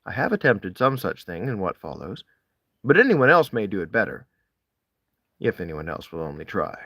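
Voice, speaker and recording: A man reading classic literary prose aloud, deliberately, with dramatic rises and falls in his phrasing. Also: on the low side, very animated, no room sound, muffled recording. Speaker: audiobook-style reader of classic essays. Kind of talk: literary read-aloud, expressive scripted narration.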